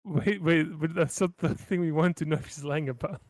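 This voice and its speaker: Man, higher-pitched voice, casual game-table chat, close dry audio. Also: a little fast, no echo. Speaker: player in a tabletop roleplaying game.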